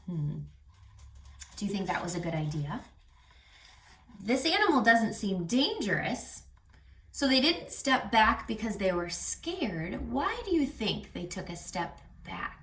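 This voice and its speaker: A woman reading aloud to children as a reading teacher, expressive and teacherly, explaining and asking questions. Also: mid-range voice, slow. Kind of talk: children's read-aloud with questions to young listeners. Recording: echoey room, distant mic, music.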